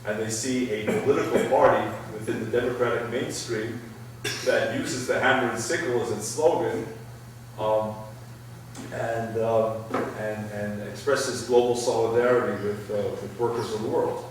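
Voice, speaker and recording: Middle-aged guy answering audience questions, lowish voice, stops and restarts a lot, far off in a big echoey hall. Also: fairly even intonation. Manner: thoughtful, halting, many restarts. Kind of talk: Q&A at a public talk. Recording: echoey hall, far from the mic, faint noise.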